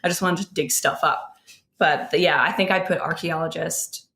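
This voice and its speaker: A woman's voice: sits low, fairly even intonation, normal speed, gravelly, a bit bright. An American woman co-hosting a podcast in casual, conversational talk. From southern New Jersey, United States. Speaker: podcast co-host. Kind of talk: casual chat.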